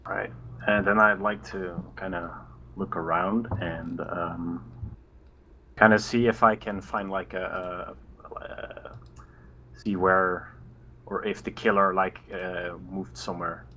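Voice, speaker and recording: A man's voice: deep voice, flat delivery, slow, bright, forceful tone. A man chatting casually as a tabletop game player, hesitant and hedging as he talks. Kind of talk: casual chat. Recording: faint background noise.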